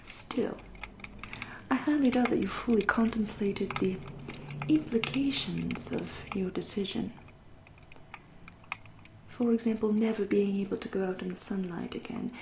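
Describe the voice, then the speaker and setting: A woman playing a centuries-old vampire in an ASMR roleplay, with an English accent, a soft breathy half-whisper and a genteel, teasing, politely menacing manner. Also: medium pitch, noisy background. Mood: patronising, musing. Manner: slow, deliberate, politely menacing.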